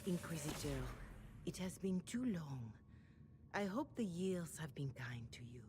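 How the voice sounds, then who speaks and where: A woman voicing a gracious, solemn older holy mother in a video game, speaking with formal, gentle courtesy. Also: lowish voice, big pitch swings, unhurried, noisy background. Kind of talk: video game cutscene acting.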